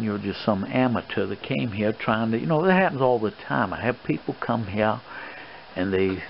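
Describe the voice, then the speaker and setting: A man's voice: mid-range voice, natural voice. A man playing an elderly colonel and swashbuckling gambler in an ASMR role-play, courtly and boastful, with a folksy, rambling, hesitant delivery. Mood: stern, boastful. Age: older. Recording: dull lo-fi sound with clear background noise.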